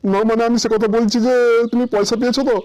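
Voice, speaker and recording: A man voicing a scheming village husband in a comic cartoon, coaxing and flattering in hurried, repeated bursts. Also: very high-pitched, a little hiss or hum, poor audio. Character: wheedling scheming village husband who flatters his wife. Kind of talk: comic Bengali cartoon dialogue.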